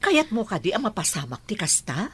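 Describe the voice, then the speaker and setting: A woman's voice: medium pitch, very animated, a little fast, husky. A woman acting an anxious mother and lady of the house in an Ilocano radio drama. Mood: soothing, concerned. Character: anxious mother and mistress of a mansion.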